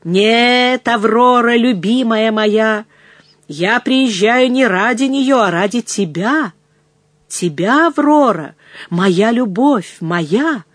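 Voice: mid-range voice, expressive, unhurried, darkish tone, dry recording. A narrator voicing a jealous, passionate male suitor, wheedling and threatening by turns in an audiobook. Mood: angry, passionate. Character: jealous, ardent suitor, menacing then pleading man. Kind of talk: dramatised audiobook reading.

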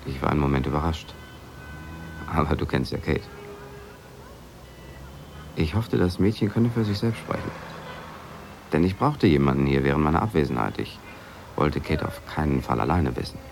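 An actor playing a worried husband in a radio drama, recounting events in a measured, confiding way. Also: male voice, a bass voice, animated, gravelly, background noise, full character voice. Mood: reflective, concerned. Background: music.

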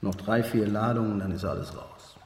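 An actor playing a cynical, menacing smuggler boss in a radio drama, mocking and condescending. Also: male voice, on the low side, moderate pace, close to an everyday voice. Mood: smug, commanding. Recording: echoey reverberant room with background noise.

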